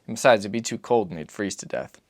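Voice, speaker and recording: A man reading as a weary, patient father who gives terse, measured answers in an audiobook. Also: on the low side, no echo, clean studio sound, close to an everyday voice. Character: weary, patient father, guarded survivor answering his son.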